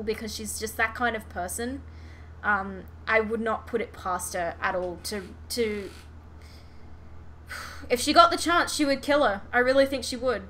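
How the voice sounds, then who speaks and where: A woman's voice: a little high, pushed and bright, a little hiss or hum. A woman podcast host in a casual fan discussion, giving her opinions emphatically.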